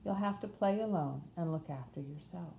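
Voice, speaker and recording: A woman's voice: fairly low pitch, moderate pace, gravelly, narrow, tinny audio. A woman voicing a stern, bossy housekeeper in a storybook reading, firm and curt. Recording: roomy echo, faint noise.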